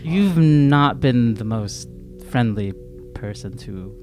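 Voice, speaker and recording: Slow-paced, halting young male player. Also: medium pitch, expressive, a little mellow. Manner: hesitant, halting questioning. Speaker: tabletop game player. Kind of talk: improvised in-character dialogue in everyday voice. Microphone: close podcast microphone on stand. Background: instrumental music.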